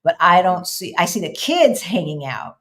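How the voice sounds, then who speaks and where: A woman's voice: fairly low pitch, big pitch swings, normal speed, fairly bright tone. A middle-aged woman hosting a casual interview, thoughtful and talking straight to her viewers, with many fillers and restarts. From United States. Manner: addresses viewers directly. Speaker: host.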